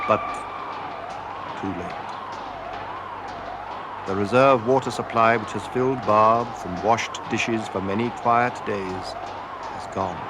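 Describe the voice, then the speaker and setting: A man's voice: fairly low pitch, steady tone, takes it slowly, long pauses. A man giving an intense, theatrical narration of a story, as in a scripted radio drama. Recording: noisy 1970s radio drama with effects. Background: loud sound effects.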